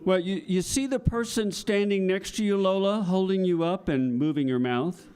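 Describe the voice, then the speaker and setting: A man's voice: high voice, normal speed, a little mellow, clean tone. A man hosting a children's audio theater show, announcing to a live family audience.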